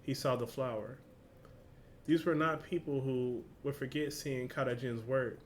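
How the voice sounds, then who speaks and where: A man's voice: mid-range voice, steady tone, measured pace, a little hiss or hum. A man with a casual, slangy, informal way of talking, hosting a reaction video and reading along.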